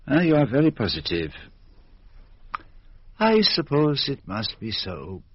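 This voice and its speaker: An actor playing a courteous, shrewd foreign gentleman detective in a radio drama, speaking in a formal, measured, quizzical way. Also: medium pitch, wildly swooping pitch, breathy edge, full character voice. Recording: dull, band-limited radio drama recording.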